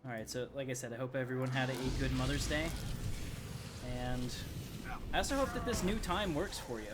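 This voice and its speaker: A man streaming a video game, giving casual live commentary as he plays. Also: mid-range voice. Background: game music.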